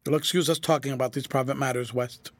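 A man's voice: medium pitch, fairly even intonation, quick pace, no room sound, lightly acted. A man voicing a stern, hot-tempered old general with a formal, imperious manner in a storybook reading. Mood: agitated, civil. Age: older.

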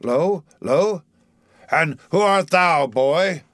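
A man's voice: on the high side, animated, extremely slow, fairly bright tone, no echo, clean studio sound, voice pushed up, full character voice. A man voicing a mad, brooding old sea captain in an audiobook, declaiming grandly in archaic speech. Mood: stern.

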